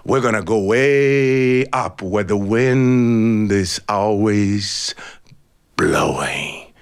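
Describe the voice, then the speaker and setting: A man doing a deep patient old eagle. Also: clean tone. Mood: coaxing. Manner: slow, firm and coaxing. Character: patient wise eagle, calm and commanding.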